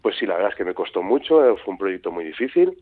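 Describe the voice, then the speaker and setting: Animated male storyteller, low voice, dry close sound. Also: fast, dry recording. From Madrid. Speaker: guest author. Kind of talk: radio interview. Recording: tinny phone-like radio sound.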